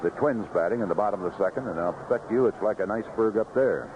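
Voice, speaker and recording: Guy calling a ballgame live on 70s radio, chatty clipped phrases, muffled w/ loud background noise. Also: on the low side, fast. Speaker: baseball radio play-by-play announcer. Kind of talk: live play-by-play sports commentary. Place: outdoor ballpark broadcast booth. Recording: noisy muffled vintage 1970s radio broadcast.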